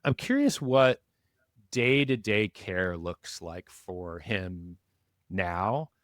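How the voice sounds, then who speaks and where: Low-voiced male podcast host, dry sound. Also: very animated, very slow, no room sound. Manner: curious, affirming, asks long questions. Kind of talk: conversational interviewing.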